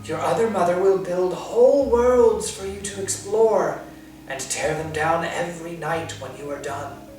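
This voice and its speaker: A man's voice: sits high, darkish tone, far from the mic, pitched above the performer's own voice. A man voicing a dry, scratchy, rustling old man figure who sounds like a dead insect, coaxing in a storybook, over music in a roomy space.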